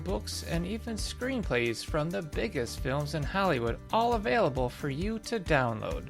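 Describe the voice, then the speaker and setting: A man's voice: fairly high pitch, gravelly, fairly bright tone. A man hosting an interview podcast, speaking in an emphatic, agreeable, conversational way. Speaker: podcast host. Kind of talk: casual interview chat.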